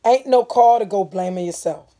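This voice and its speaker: A woman's voice: mid-range voice, moderate pace, dark tone, pitched above the performer's own voice, natural voice. A woman voicing an insistent, earnest young Southern girl in a dramatic storybook reading. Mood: comforting. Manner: urgent, stammering, pleading.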